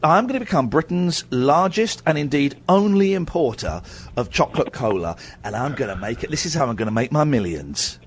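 A man's voice: mid-range voice, expressive, a little fast, slight background noise. A middle-aged man hosting a radio show, chatting with a caller in a rambling, self-mocking way with lots of restarts. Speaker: radio host. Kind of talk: casual radio chat with callers.

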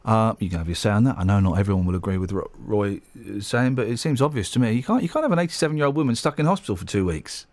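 A male radio presenter chatting bluntly into a studio mic, his pitch moving through a lively range. The sound is dry and close. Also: rapid-fire, hardly any pauses, no room sound. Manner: blunt and chatty, with frequent restarts. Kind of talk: casual radio chat.

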